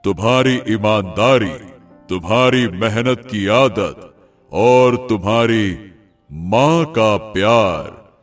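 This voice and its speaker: A man's voice: fairly low pitch, lively intonation, slow pace, no echo, soft background music. A man voicing a solemn, stern magical sage in a cartoon moral story, speaking in grave pronouncements. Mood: stern, ominous. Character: solemn magical tree and wise village guru, stern.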